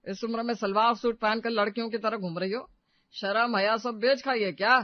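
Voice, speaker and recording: A man's voice: high-pitched, steady tone, fairly quick, no room sound, lo-fi sound, close to an everyday voice. A man playing a stern, scolding old patriarch in a family audio story, domineering and indignant. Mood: angry, commanding.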